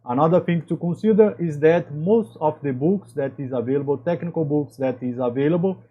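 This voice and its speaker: A man from Brazil, a native Portuguese speaker with a Brazilian accent, giving career advice to camera as a chemical engineer and channel host, in halting English with frequent restarts. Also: on the high side, breathy edge, lo-fi sound. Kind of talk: talking-to-camera advice video.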